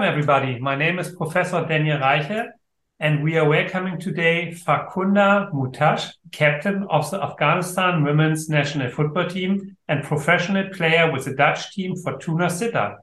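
A male professor hosts an interview podcast, asking formal, measured questions. His voice sits a bit high for a man. The sound carries a noticeable room echo. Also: a bit bright. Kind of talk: interview hosting. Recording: echoey room.

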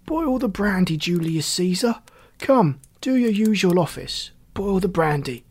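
A narrator performs a drunken, ragged man in an audiobook story, hoarse and mocking. He calls out loudly in a raised pitch, dragging and repeating his words with wild insistence. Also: animated. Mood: wild, goading. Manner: loud, drawn-out, repetitive calls.

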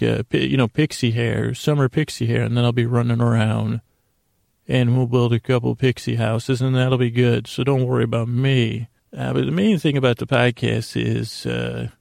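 Male bedtime podcast host, heavy vocal fry, halting and self-deprecating, wandering monologue, close dry sound. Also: medium pitch, darkish tone, no echo. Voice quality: gravelly, creaky. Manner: meandering, halting, stammering with repeated words.

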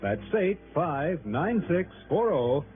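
A male commercial announcer in old sixties radio style. His fairly high voice rises and falls with animation, heard through a tinny, narrow recording. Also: sing-song, slow, faint music behind. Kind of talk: scripted 1960s radio commercial.

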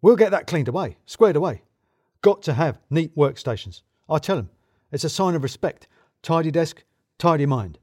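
A jittery, paranoid office manager played by a male storyteller in an audio drama. He pushes his words out loudly and a little high, stammering and repeating himself in blustering emphasis. The sound is close and dry. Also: expressive, moderate pace, no echo. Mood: manic bluster.

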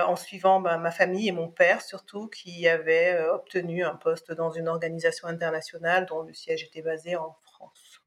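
A middle-aged woman from Cameroon, a podcast guest giving a personal testimony, thoughtful and hesitant with many restarts. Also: on the low side.

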